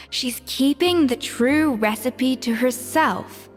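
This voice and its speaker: A woman playing a blunt, impatient, sharp-tongued young woman in a video game. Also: high-pitched, slow, faint music behind. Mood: weary. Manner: scolding, sighing, blunt.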